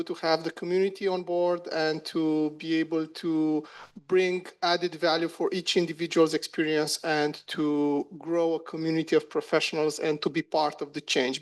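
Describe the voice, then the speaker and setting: A man's voice: fairly high pitch, creaky. A man introducing himself on a panel as an association president, wry and lightly joking. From Romania.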